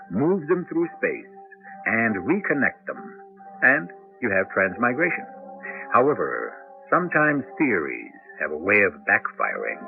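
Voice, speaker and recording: A man as the solemn, knowing host of a radio mystery drama, narrating in a measured, portentous style. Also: deep voice, gravelly, phone-quality sound, heavily acted. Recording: band-limited 1980s radio broadcast.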